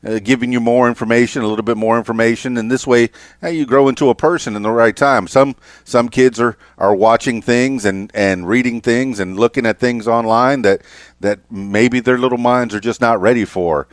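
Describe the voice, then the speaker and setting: A man in his fifties hosting a casual interview, speaking haltingly and often repeating his words. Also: medium pitch, steady tone, dry recording, studio quality. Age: 50s. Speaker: podcast host interviewer.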